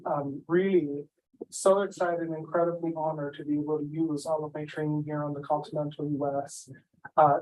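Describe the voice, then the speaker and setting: A man from Hawaii introducing himself as an assistant professor, sincere and warm, with many small fillers, over a video call. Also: fairly high pitch, low-quality recording. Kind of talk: self-introduction at a virtual event.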